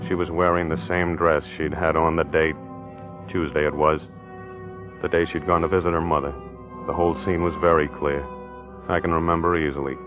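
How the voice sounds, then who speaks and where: An American actor playing a mild, befuddled middle-aged husband in a 1940s radio mystery, hesitant and deliberate. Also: male voice, rumbling low, little pitch movement. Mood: reflective, subdued. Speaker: narrator and lead character. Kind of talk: 1940s radio acting, first-person narration. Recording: muffled old radio recording with music.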